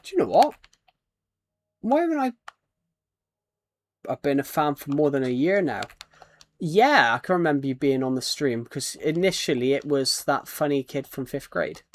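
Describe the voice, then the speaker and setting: A man's voice: fairly high pitch, big pitch swings, moderate pace, long pauses. Rambling British man chatting on stream. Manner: rambling, chatty, reads viewer comments and names aloud. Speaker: livestreamer. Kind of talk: casual livestream chat while playing a game.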